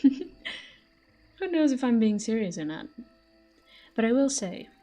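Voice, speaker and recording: Casting: actress as jealous, flirtatious female fantasy villain for audio roleplay; mellow, breathy, smug, soft music underneath. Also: on the high side, sing-song, takes it slowly. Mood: jealous, teasing. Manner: smug, taunting, breathy murmurs. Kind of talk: intimate scripted audio roleplay addressed to the listener.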